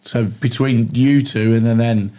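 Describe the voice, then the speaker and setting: A husky-voiced British man, tired from lack of sleep, hosting a casual trade podcast. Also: mid-range voice, fairly even intonation, unhurried, dark tone. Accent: British English. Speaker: podcast host.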